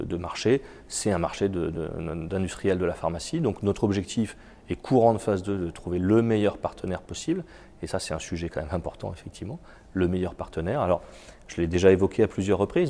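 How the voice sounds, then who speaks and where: Man, very low voice, gravelly, mellow tone, slightly stuffy from a cold, stop-start executive interview. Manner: halting, many repeated words and fillers. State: has a cold. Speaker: biotech company executive. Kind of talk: business interview answers.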